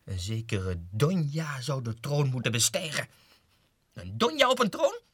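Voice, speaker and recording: An actor playing a deluded, self-important low-ranking Russian clerk going mad, in a theatrical radio drama monologue that swings between muttering and agitated outbursts. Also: male voice, very animated, unhurried, a bit bright, breathy edge, no room sound, exaggerated voice. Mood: outraged. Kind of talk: 1960s radio drama monologue, diary entries. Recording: dry 1960s radio studio recording.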